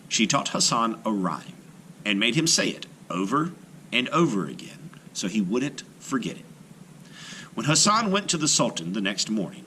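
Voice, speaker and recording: Male storyteller for children's read-aloud: bright, mid-pitched, expressive intonation, faint noise behind. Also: poor audio. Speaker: storyteller, art teacher.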